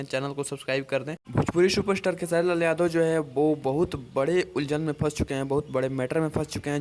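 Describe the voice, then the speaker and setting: A man presenting informal news commentary as a video host, explaining things in a repetitive way. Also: a little high. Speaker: YouTube host reporting entertainment gossip.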